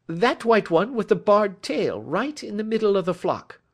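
A man's voice: sits high, sing-song, moderate pace, exaggerated voice. A man reading aloud as a frightened, desperate young male thief confessing his story in an agitated, pleading way. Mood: anxious. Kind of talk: audiobook reading.

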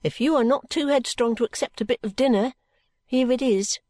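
A woman's voice: a little high, a little fast, a little mellow, no room sound, studio quality, voice pushed up, lightly acted. A woman voicing a hearty, scolding soldier's wife in a storybook, indignant, emphatic and colloquial but fond. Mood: brisk. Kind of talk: storybook character dialogue.

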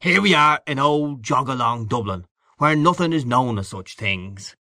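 A man's voice: medium pitch, expressive, measured pace, bright, forceful tone, no room sound, studio quality, pitched above the performer's own voice. A man voicing a brash, worldly Irishman in an audiobook, boastful and hearty, patronising an old friend with emphatic delivery. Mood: expansive, knowing. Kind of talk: literary audiobook character dialogue.

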